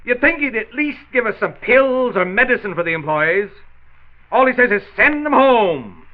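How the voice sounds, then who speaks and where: An actor playing a blustering, skeptical American factory boss in a 1940s radio drama, with an emphatic, grumbling, sarcastic delivery. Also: male voice, very high voice, animated, moderate pace. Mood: scornful grumbling. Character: blustering, skeptical male factory boss under deadline pressure. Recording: tinny, narrow-band 1940s radio recording.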